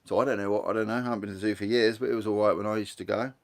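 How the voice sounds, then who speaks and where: A man's voice: fairly low pitch, fairly even intonation, racing. Man, Guernsey accent, live-stream host: easygoing, rambling, reads comments aloud. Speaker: livestream host. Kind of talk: casual live-stream chat.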